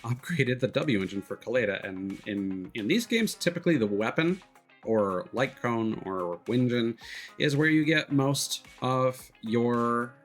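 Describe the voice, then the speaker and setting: Male game streamer, lowish voice, casual and analytical with halting delivery, music playing underneath. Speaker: streamer, host of a gaming live stream. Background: background music.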